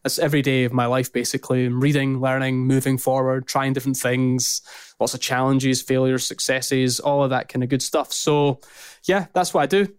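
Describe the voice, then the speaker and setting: Scottish man in his thirties, crisp and dry. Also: medium pitch, normal speed, no room sound. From Scotland. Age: 30s. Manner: rambling, list-heavy, lively. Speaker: podcast guest, business founder. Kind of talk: casual interview talk. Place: his office.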